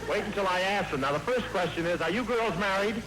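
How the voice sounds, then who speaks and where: A man plays the exasperated straight man in a vintage radio sketch, his voice pitched high. The broadcast crackles with heavy hiss. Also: fast talker. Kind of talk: 1940s radio comedy sketch.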